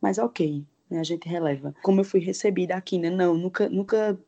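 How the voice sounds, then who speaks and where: A woman with a heavy Pernambuco accent talks in a relaxed, storytelling way. Her voice is warm and mellow, and the audio is slightly dull and lo-fi. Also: on the low side, a little fast. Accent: Pernambuco Brazilian Portuguese. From Pernambuco, Brazil. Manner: relaxed storytelling with quoted dialogue. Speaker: guest. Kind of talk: casual interview chat.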